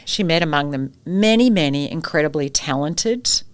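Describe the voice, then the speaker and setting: A woman's voice: low voice, moderate pace, clear, resonant tone, dry recording. A middle-aged Australian woman, a guest expert, telling stories and asking rhetorical questions in a conversational interview. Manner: animated storyteller, rhetorical questions.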